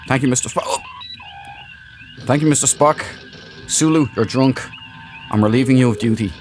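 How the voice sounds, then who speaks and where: A man's voice: medium pitch, normal speed. A man giving casual let's play commentary, explaining things in a chatty, informal, rambling way. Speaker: gaming video host. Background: game music.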